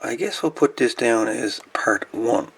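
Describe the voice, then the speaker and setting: A man with a creaky, gravelly voice gives casual, halting hands-on repair commentary, muttering to himself. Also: mid-range voice, lively intonation, slight background noise. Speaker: host of a radio repair video.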